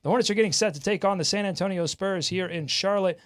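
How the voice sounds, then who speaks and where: A man hosting a live sports talk show in a casual way, often halting as he searches for words. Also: high voice. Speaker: sports podcast host.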